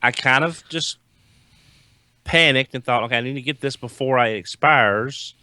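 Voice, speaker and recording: A man on a podcast panel chatting casually, halting and repeating words as he starts. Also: medium pitch, sharp tone, dry recording. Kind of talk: casual chat.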